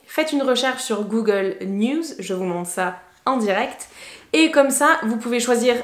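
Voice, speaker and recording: A woman with a fairly high voice shares study tips in a relaxed, encouraging way, often pausing on little fillers. She sounds like a teacher talking to her viewers. The room around her is echoey. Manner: chatty, encouraging, many fillers. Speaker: English teacher. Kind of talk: casual tips to camera.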